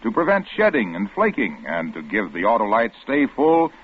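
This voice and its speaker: Animated vintage radio pitchman on tinny broadcast. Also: husky. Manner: brisk, emphatic salesmanship. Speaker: commercial announcer. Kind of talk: 1940s-50s radio advertising pitch.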